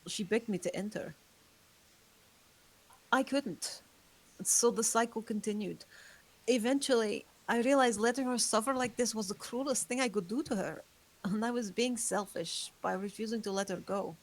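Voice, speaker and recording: A woman's voice: on the high side, normal speed, rough recording. A woman reading as a remorseful ageless vampire. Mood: somber. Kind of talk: table read.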